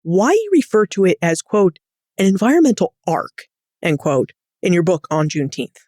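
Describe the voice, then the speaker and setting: A woman hosting a podcast interview, putting prepared questions to a guest in an articulate, measured way. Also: lowish voice, sing-song, mellow, no echo, studio quality.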